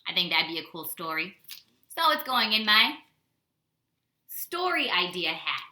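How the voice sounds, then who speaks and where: Bright, echoey American woman teaching kids. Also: fairly low pitch, a little slow. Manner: animated, simple wording with rhetorical questions. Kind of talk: casual storytime lesson for young children. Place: indoors at home. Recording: roomy, echoey indoor home recording.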